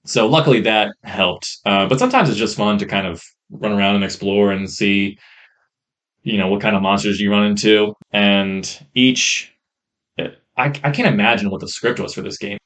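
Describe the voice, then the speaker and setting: A man co-hosting a podcast, reviewing games in a casual, enthusiastic ramble on a rough-sounding mic. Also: lowish voice, moderate pace, a bit bright. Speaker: podcast co-host. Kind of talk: casual chat, game review.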